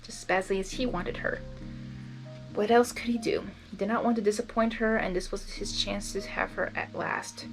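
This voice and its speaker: A woman's voice: medium pitch, steady tone, normal speed, gravelly, soft background music, poor audio. A woman narrating fan fiction expressively as an amateur reader, breaking into informal, emotionally involved reactions and stumbling over words now and then.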